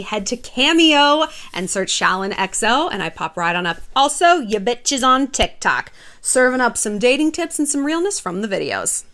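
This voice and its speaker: A woman's voice: fairly high pitch, a bit bright. A woman hosting her own video, chatting casually and gossipy to camera in a sassy, irreverent way with some swearing. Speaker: host of an online video channel.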